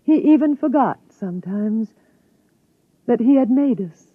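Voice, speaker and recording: A woman acting in a 1950s radio drama as a serene, wistful artificial wife, measured and breathy, on a thin old recording. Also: fairly high pitch, animated, no room sound, narrow, tinny audio. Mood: wistful, composed.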